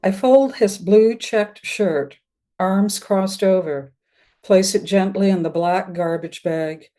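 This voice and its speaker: An older woman reading her own literary piece in measured, plain-spoken narration. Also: mid-range voice, dark tone, faint music behind. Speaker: writer reading own work. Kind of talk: literary prose-poem reading.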